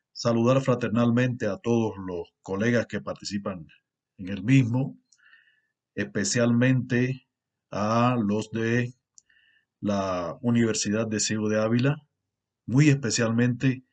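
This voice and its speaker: A middle-aged man with a Cuban accent speaks in a somewhat low voice. He delivers a formal academic talk at a steady, earnest pace, often pausing with fillers as he builds his points. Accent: Cuban Spanish. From Cuba. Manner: measured, earnest, with filler pauses. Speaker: university lecturer.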